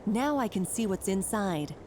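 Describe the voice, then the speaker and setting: A woman's voice: mid-range voice, animated, moderate pace, background noise, natural voice. An actress playing a worried, determined mother in a video game, thinking aloud as she searches. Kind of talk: video game character acting.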